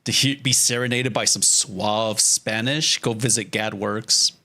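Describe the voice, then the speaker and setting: A man's voice: fairly low pitch, fairly even intonation, a little slow, pushed and bright. A man chatting casually on a livestream as he works, with a joking, self-deprecating manner and loose, sweary phrasing. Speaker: streamer, storyboard artist. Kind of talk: casual livestream chat while drawing.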